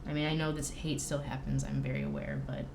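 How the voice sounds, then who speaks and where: A woman's voice: extremely low pitch, level tone, fast, vocal fry. A woman chatting casually and candidly as a podcast guest, in an informal, self-deprecating way. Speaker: band drummer, podcast guest. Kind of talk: casual personal chat. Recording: roomy echo with faint noise.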